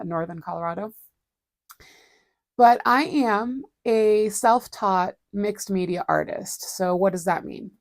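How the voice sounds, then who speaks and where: A woman, chatting casually and rambling as she hosts a relaxed art livestream, laughing often and poking fun at herself. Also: mid-range voice, vocal fry, dry recording, studio quality. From Boston area, USA. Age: 40s. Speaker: livestream host and artist. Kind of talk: casual chat. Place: home studio.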